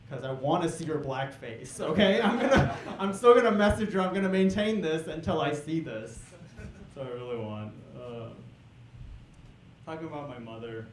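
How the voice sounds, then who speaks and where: A young man doing a stand-up comedy set on a club stage, hyping the crowd and then dropping into deadpan setups. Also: a little high, normal speed. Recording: echoey club with crowd noise.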